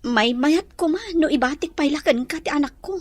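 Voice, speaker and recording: A woman's voice: high-pitched, fairly quick, no echo, close to an everyday voice. A woman playing a troubled, hesitant grown daughter with a baby in a radio drama, speaking plaintively. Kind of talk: Ilocano radio drama acting.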